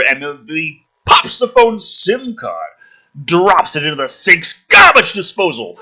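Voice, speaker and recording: A man's voice: fairly high pitch, pushed and bright, husky, poor audio. A man giving a ranting, sarcastic commentary as he reads a screenplay aloud, profane and exasperated. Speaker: host of a movie script review.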